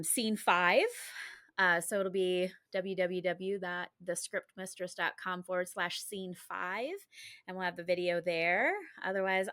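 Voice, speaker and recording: A woman's voice: medium pitch, fairly bright tone, no room sound, studio quality. A woman hosting a podcast, talking in an informal, chatty way. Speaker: podcast host. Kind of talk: casual podcast introduction and interview chat.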